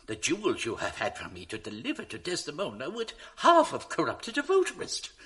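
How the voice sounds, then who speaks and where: An actor playing a foolish, aggrieved young suitor complaining indignantly in a radio drama of classical verse. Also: male voice, high-pitched, fairly bright tone, heavily acted.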